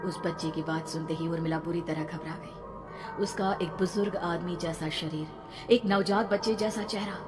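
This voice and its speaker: Casting: woman storyteller for horror tales, fairly low and dramatic, reverberant over soft music. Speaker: narrator. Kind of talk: scripted horror story narration.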